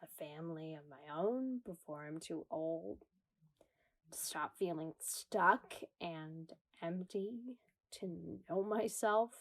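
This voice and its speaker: An actress playing an anxious, self-deprecating woman in existential crisis, narrating a confessional radio comedy monologue with wry, dramatic delivery. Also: on the low side. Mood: quiet, wistful.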